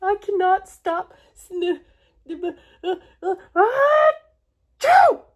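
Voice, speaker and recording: Woman playing a shrill, melodramatic storybook elephant. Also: big pitch swings, very slow, full character voice. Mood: sneezy alarm. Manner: wailing, stammering, exclamatory. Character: melodramatic, distraught male elephant with sneezing fits.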